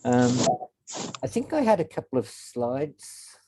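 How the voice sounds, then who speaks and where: A man giving a reflective webinar talk as guest speaker, pausing often with ums. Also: mid-range voice, sing-song, noisy background. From Australia. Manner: reflective, frequent ums and pauses. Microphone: video call.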